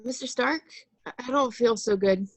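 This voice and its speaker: A woman's voice: on the high side, moderate pace, soft background music, close to an everyday voice. A woman playing a frightened, pleading teenage boy superhero in an amateur table read, stammering and repeating themselves. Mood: scared, confused.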